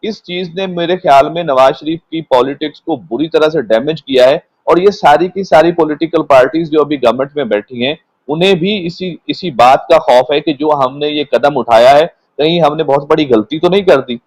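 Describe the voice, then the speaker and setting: A man with a Pakistani accent speaking as a guest commentator, explaining politics in a measured, unscripted way and sometimes repeating words. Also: a little high, dry recording, poor audio. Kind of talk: unscripted political analysis in a panel discussion.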